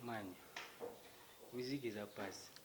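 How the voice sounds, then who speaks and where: A man's voice: mid-range voice, fairly even intonation, slow, heavy background noise. A man interviewing a rapper in a loose, chatty way. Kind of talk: casual interview questions.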